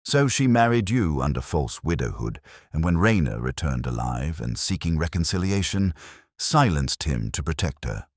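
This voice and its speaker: Storybook detective, acted by a male narrator: cool, commanding, clipped and intent, extremely low register, husky edge. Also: very animated, moderate pace, no room sound, clean studio sound, exaggerated voice. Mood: grave, calculating.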